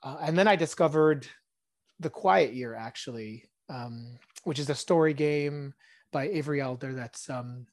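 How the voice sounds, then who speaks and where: A man in his thirties, born in Israel and a native Hebrew speaker, talking as a podcast guest in a musing, soft-spoken way that often trails off into quiet asides. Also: medium pitch, unhurried, no room sound. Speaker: podcast guest, tabletop game designer. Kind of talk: casual interview chat.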